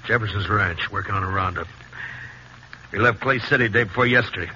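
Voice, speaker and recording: A man's voice: lowish voice, fairly quick, close to an everyday voice. An actor playing a middle-aged, reluctant Western sheriff and worried father, terse, grudging and rustic, in 1950s radio drama style. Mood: defensive. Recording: muffled, noisy 1950s radio recording.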